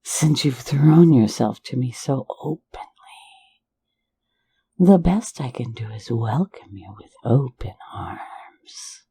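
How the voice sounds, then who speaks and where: A playful phantom thief, voiced by a woman, leans close to murmur in a low, gravelly whisper. The tone is dark and unhurried, teasing and flirtatious, delivered right against the mic like an intimate ASMR roleplay. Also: lively intonation, exaggerated voice. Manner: soft intimate whisper, mocking and coaxing.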